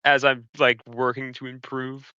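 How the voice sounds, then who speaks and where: A man's voice: medium pitch, little pitch movement, unhurried, pushed and bright, clean tone, dry recording. A man playing a tabletop game, chatting casually about what his character does, on a slightly dull, dry recording. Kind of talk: casual game chat, speaking for his character in third person.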